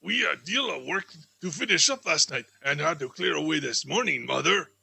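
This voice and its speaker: A man voicing a gentle, dutiful grown daughter in a theatrical storybook reading, simple and earnest. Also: a little high, lively intonation, moderate pace, vocal fry, slightly rough, no room sound, full character voice. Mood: apologetic.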